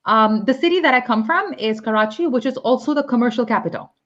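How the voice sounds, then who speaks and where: A woman's voice: fairly high pitch, expressive, fast, a bit bright. Woman from pakistan teaching english live, chatty, lots of ums, kinda dull lo-fi sound. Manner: chatty, explains with examples, many ums. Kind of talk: live online English lesson.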